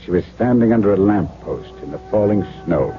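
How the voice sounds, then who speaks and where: A dull 1970s radio recording with faint noise carries a male actor as a low-voiced, harried doctor. He is emphatic and brusque, telling his story and snapping out dialogue with big swoops of pitch. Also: lively intonation, a little fast, close to an everyday voice. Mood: harried narration. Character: impatient German doctor, harried and exasperated, narrating his story. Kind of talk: 1970s radio drama acting, narration and dialogue.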